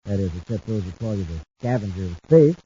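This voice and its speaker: An American actor playing a flippant, scheming younger brother in a 1950s radio drama, with a breezy, needling manner. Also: male voice, lowish voice, animated, fast, mellow, natural voice. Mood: teasing, smug. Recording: muffled, noisy old radio recording.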